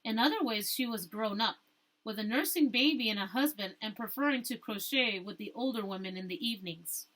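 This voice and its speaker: A woman teacher reading a storybook aloud, rereading stumbled phrases and pausing to explain things to her listeners. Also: on the high side, a bit bright, poor audio.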